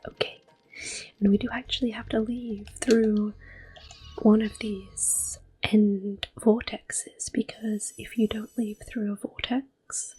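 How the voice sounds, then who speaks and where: A woman hosting soft-spoken ASMR gameplay commentary, whispering gently as she plays. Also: on the high side, poor audio. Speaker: ASMR gaming host. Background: game music.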